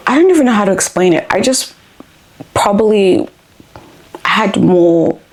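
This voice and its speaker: A woman's voice: medium pitch, lively intonation, a little slow. A woman, born in Africa, talking through her thoughts in a reflective personal vlog with frequent ums and likes. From born in Africa, grew up in Australia. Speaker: vlogger.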